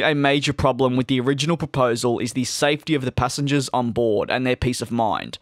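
A man hosting an aviation video channel, reading a scripted news update in a steady, measured way. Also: mid-range voice, no echo, clean studio sound. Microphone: close studio voice-over.

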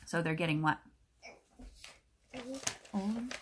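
A woman teacher reads a picture book aloud to children, expressive and explanatory. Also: fairly low pitch, background noise. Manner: expressive, explanatory, pauses to question listeners.

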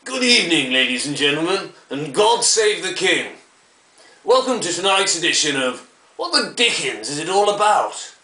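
A man's voice: a little high, very animated, normal speed, sharp tone, exaggerated voice. A man playing a pompous, disdainful, old-fashioned current affairs presenter, announcing formally in a mock vintage broadcast style. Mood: pompous, grave. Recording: echoey, distant from the mic.

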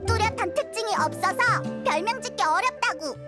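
Tiny game fairy, very high squeaky childlike voice, animated, huffy, exclamatory, music behind. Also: female voice, normal speed. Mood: huffy, indignant. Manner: indignant, exclamatory. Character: small childlike floating fairy companion, excitable and huffy. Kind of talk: game character dialogue.

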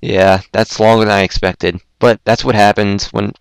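Young male host, low smooth voice, rambling, self-deprecating, a little hoarse, dry close mic. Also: flat delivery, moderate pace, dry recording, rough recording. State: throat going hoarse. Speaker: podcast host. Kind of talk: casual chat on a Skype call.

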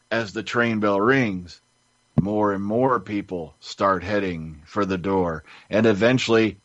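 Lowish male panelist, dry muffled sound. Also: fairly bright tone, no echo. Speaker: podcast panelist. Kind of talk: casual storytelling chat. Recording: dull, muffled lo-fi audio.

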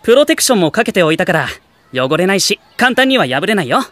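A man's voice: high-pitched, big pitch swings, quick pace, a bit bright. A man playing a kind, easygoing teenage boy with a casual, good-natured way of talking. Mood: cheerful.

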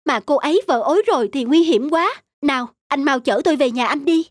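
A woman's voice: high-pitched, expressive, a little fast, no echo, studio quality. A woman playing an earnest, decisive female doctor, speaking emphatically in a cartoon drama. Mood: urgent.

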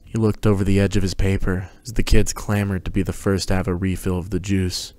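Low voiced guy narrating a story, pretty flat, dry close sound. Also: brisk, no echo. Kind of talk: scripted story narration, dramatic reading.

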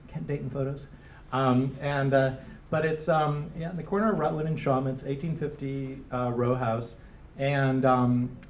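A man's voice: medium pitch, a little mellow. Rambling man on a roomy handheld mic. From Detroit. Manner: rambling, many fillers and restarts. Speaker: interviewed designer. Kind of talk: casual onstage interview. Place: small gallery room, live audience. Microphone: handheld microphone. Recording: roomy, distant, faint hiss.

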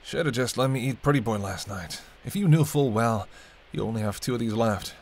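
A man's voice: mid-range voice, brisk, darkish tone, lightly acted. A man playing a sardonic, teasing vampire in an audio roleplay, talking casually and wryly to the listener as a reluctant companion. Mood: needling, sardonic. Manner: wry, bantering, casual, muttered asides. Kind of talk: intimate audio roleplay acting addressed to the listener.

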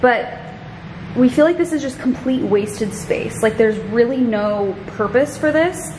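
A woman's voice: on the high side, lively intonation, measured pace, background noise. A young woman in her twenties chatting casually as she walks the camera through an empty house, full of "like" and "you know". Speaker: vlogger giving a home tour. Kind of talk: casual walk-through vlog. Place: empty house, bare rooms. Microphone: handheld camera at arm's length. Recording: echoey empty rooms.

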